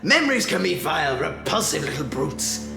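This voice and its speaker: A man's voice: a little high, sing-song, moderate pace, creaky. A man playing a gleeful, menacing mad villain clown in a radio drama, grandiose and taunting, given to cackling. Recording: echoey amateur recording under loud music.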